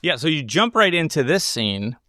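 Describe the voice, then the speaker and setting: A man's voice: a little high, big pitch swings, normal speed, bright, forceful tone, dry recording, clean studio sound. A man hosting a film podcast, explaining his take in casual, think-aloud analysis. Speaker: podcast host.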